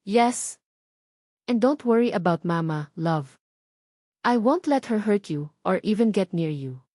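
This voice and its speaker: A woman's voice: mid-range voice, expressive, takes it slowly, darkish tone, no room sound, clean studio sound. A woman voicing an insistent, affectionate man engaged to the heroine, in a romance audio novel. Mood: reassuring.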